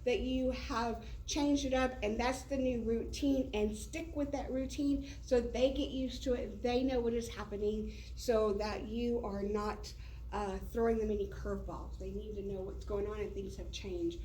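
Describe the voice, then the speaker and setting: A woman's voice: on the high side, fairly even intonation, normal speed, dark tone, slight background noise. A woman teacher talking casually to colleagues in a meeting room, loose and chatty with many fillers. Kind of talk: casual professional development talk. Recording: roomy echo, heard from a distance.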